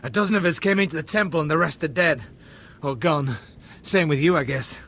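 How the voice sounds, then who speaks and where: High-voiced, bitter doomed rebel. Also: male voice, a little fast, fairly bright tone. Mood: bitter, resigned. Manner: bitter, fatalistic. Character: doomed, defiant male terrorist, bitter and fatalistic. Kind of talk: video game voice acting.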